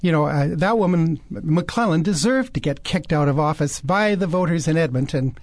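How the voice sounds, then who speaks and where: A man's voice: on the high side, moderate pace, darkish tone, no room sound. Opinionated radio man, dry studio. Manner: opinionated, hesitant with many fillers. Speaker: radio health show co-host. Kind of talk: casual radio talk.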